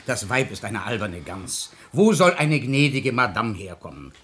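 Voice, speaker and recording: A man's voice: medium pitch, sing-song, measured pace, fairly bright tone. A man playing a blunt, hot-tempered middle-aged musician and father, blustering and scolding in a 1950s German radio drama.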